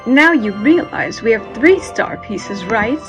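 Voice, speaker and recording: Casting: woman to play imperious sorceress villain, haughty and menacing, high animated voice, unhurried delivery, audio drama. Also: over music. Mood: scheming. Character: imperious, power-hungry evil sorceress queen.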